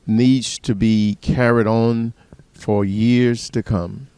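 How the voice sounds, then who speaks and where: A man's voice: mid-range voice, flat delivery, takes it slowly, warm tone, smooth, no room sound. A man speaking as a pastor on a TV panel. He is plain-spoken and repeats words as he goes. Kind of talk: TV panel discussion.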